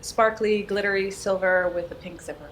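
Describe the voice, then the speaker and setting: A woman's voice: medium pitch, fairly even intonation, moderate pace, a bit bright, slight background noise. A woman chatting casually as a player at a tabletop game.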